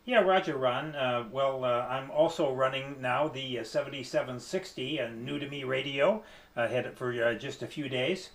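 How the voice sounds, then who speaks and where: A man talking casually as an amateur radio operator, with hesitant, halting phrasing. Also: mid-range voice, a bit bright, a little hiss or hum. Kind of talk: casual ham radio conversation. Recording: echoey, roomy sound.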